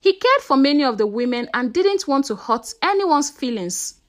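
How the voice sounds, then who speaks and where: A woman's voice: high voice, big pitch swings, normal speed. A woman narrating a scripted folktale in a dramatic storytelling manner, posing questions to her listeners.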